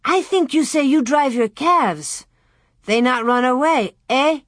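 A woman's voice: sits high, animated, slow, no room sound, studio quality, pitched above the performer's own voice, heavily acted. A woman voicing a pushy, taunting farm boy with a sneering manner in a children's storybook. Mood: spluttering, accusing. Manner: sneering, broken English.

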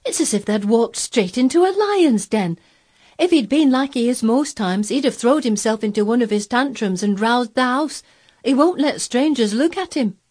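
An agitated young Yorkshire housemaid, husky. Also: female voice, fairly high pitch, animated, moderate pace, a little mellow. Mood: amazed. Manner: exclaiming, dialect-speaking, fretful.

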